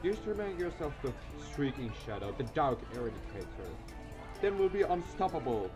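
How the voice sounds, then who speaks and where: A man's voice: medium pitch, sing-song, moderate pace, natural voice. Pompous flamboyant schoolboy played by a man. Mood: boastful excitement. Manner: pompous, theatrical. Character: grandiose, flamboyant teenage schoolboy show-off. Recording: loud music behind the voice.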